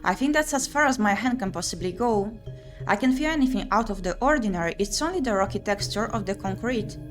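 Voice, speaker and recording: A woman's voice: mid-range voice, lively intonation, brisk, close to an everyday voice. A woman voicing an uneasy, sardonic young female explorer in a visual novel, with dramatic, wry self-talk. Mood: nervous. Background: game music.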